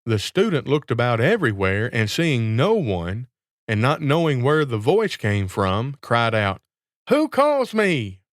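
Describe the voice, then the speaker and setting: A male storyteller narrates a classic fairy tale from a script. His voice sits at a medium pitch. The recording is dry and close, with very little room sound. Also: very animated, unhurried, dry recording.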